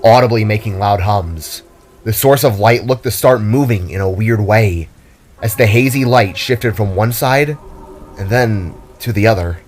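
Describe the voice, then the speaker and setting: A man's voice: fairly low pitch, unhurried, a little hiss or hum. A man narrating a scripted fantasy story in a dramatic storytelling style.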